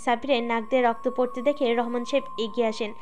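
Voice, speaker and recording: Female story narrator, high voice, scripted dramatic narration with a music bed. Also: steady tone, fast talker, a little mellow.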